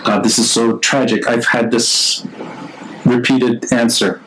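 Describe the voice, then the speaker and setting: A man's voice: mid-range voice, level tone, slow, a bit bright, a little hiss or hum, rough recording. A man hosting an unscripted live interview on a video call, talking informally and hesitantly, thinking aloud as he goes. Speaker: podcast host.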